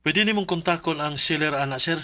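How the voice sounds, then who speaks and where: An actor as a high-voiced, polite Cebuano courier. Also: male voice, a little fast, heavy background noise, natural voice. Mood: pleading. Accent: Cebuano Filipino. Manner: deferential, pleading. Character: polite, hardworking young male parcel delivery rider. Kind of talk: Bisaya radio drama acting.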